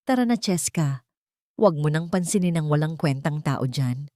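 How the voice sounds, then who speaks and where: A woman's voice: deep voice, animated, moderate pace, warm tone, no echo, clean studio sound. A woman voicing a wealthy older matriarch, imperious and dismissive, mixing English into her speech. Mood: contemptuous. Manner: dismissive, imperious, Taglish-speaking.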